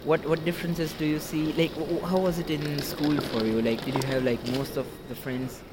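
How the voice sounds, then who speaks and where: A woman's voice: sits low, level tone, a little fast, very noisy. A woman interviewing an artist in a casual, chatty way, filling her questions with "like". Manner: chatty, lots of "like" and backchannel.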